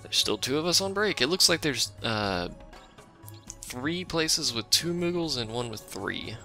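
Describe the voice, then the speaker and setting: A man with a deep, gravelly voice and a bright edge, chatting loosely over a game he is playing. He rambles and shrugs things off, with self-mocking asides to his stream chat. Faint game music sits underneath. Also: very animated, measured pace. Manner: rambling, offhand, self-deprecating; chats with a live stream chat. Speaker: let's play streamer. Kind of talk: casual gameplay commentary.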